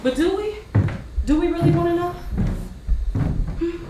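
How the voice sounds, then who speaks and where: Stage drama: actress plays fiery betrayed woman, very high voice, slow emphatic delivery, recorded in a very reverberant space. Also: animated. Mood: torn, pleading. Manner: dramatic, emphatic, drawn-out delivery with long pauses. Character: wronged, fiery woman confessing a best friend's secret betrayal. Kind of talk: theatrical stage monologue with singing. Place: stage in a theater hall. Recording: distant, echoey, noisy stage-play recording.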